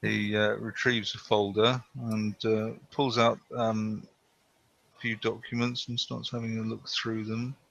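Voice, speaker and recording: A man narrating as game master, halting and full of fillers, with a gravelly voice. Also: lowish voice, level tone, fairly bright tone, dry recording, muffled recording. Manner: halting, many fillers and restarts.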